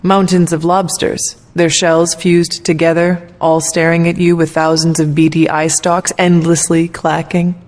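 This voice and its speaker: An actress playing a reserved, earnest heroine in a video game, speaking in a measured, formal way with dry humour. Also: female voice, fairly low pitch, level tone, darkish tone, close to an everyday voice. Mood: deadpan teasing. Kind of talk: video game cutscene acting.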